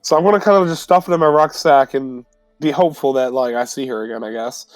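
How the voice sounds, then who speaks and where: A man's voice: mid-range voice, quick pace, no room sound, faint music behind. A man playing a tabletop roleplaying game, casually describing what his character does in informal, sweary talk.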